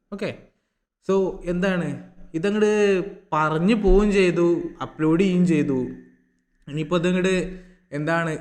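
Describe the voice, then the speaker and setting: Male online commentator, fairly high voice, informal and repetitive with rhetorical questions; long echoing reverb on the recording. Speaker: YouTube reaction commentator. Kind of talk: informal opinion commentary to viewers.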